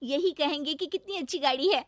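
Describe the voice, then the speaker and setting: Woman voicing a high-pitched, spirited young village wife. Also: a little fast, no room sound, clean studio sound, lightly acted. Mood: defiant. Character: spirited, confident young village daughter-in-law.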